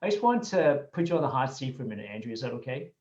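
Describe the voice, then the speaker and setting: A man's voice: mid-range voice, sing-song, rapid-fire, darkish tone. A man hosting a livestream interview, talking to viewers in an upbeat way and often repeating his words. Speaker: host of a Facebook Live show. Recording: echoey, muffled webcam audio.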